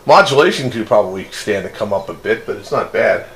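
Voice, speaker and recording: Clear noise surrounds a man in a casual radio hobby chat. His voice is fairly low but moves around a lot in pitch. He talks informally, as one enthusiast to another. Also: expressive, brisk, a bit bright.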